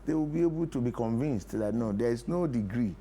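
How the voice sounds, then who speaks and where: A man's voice: mid-range voice, lively intonation, fairly quick, dark tone. A middle-aged or older Nigerian man, a play producer, explains his points in a TV interview, repeating key phrases for emphasis. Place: TV studio talk show. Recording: clear background noise.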